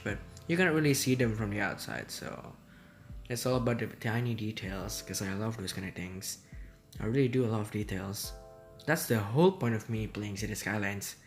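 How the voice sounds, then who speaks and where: Easygoing man. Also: lowish voice. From Indonesia. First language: Indonesian. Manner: rambling, easygoing, hesitant. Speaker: game commentator. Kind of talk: casual gameplay commentary. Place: at home.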